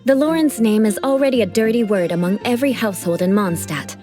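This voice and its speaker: A woman's voice: a little high, fast, dark tone, soft background music, lightly acted. An actress playing a proud young female knight of an aristocratic clan, who speaks in a formal, aristocratic manner. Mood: composed.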